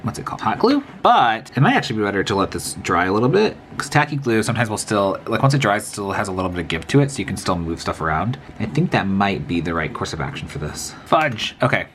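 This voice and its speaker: Brief: sniffly man, low-pitched, lively and quick, rambling to himself while he builds miniatures, faint room noise. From American Midwest. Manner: chatty, self-talking, rambling asides while working. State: sniffly from a cold. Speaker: miniature crafter. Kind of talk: casual crafting vlog narration.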